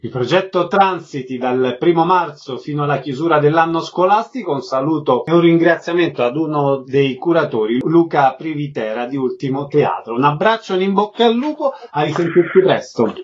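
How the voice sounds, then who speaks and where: Higher-pitched male radio interviewer, dull sound. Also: animated, normal speed, few pauses, slightly rough. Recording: dull muffled sound, faint noise.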